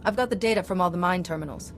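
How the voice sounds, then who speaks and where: Woman acting young female Jedi, calm, confident, video game dialogue, clear background music. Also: fairly low pitch, rapid-fire, lightly acted. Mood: calm, assured.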